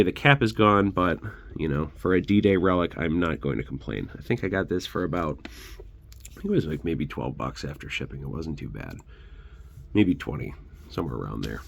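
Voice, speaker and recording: Low male voice, stuffed-up with a cold, informal hobby host, rambling show-and-tell narration. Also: a bit bright. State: sick, congested. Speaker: host of a militaria collection channel.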